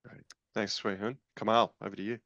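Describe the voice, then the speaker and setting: A man hosting introductions on a video call, halting with many fillers, over dull lo-fi call audio. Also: on the low side, flat delivery, fairly bright tone, no room sound. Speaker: program leader and host.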